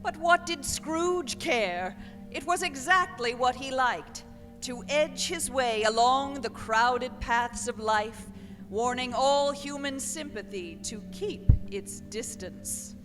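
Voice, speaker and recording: A woman giving dramatic scripted narration for a radio play, with deliberate, theatrical phrasing. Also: sits high, music in the background. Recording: live hall with long reverb.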